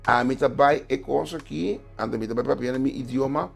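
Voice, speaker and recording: Man, gravelly and husky, emphatic guest writer on a talk show, faint music under. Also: medium pitch, normal speed. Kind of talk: studio talk show discussion.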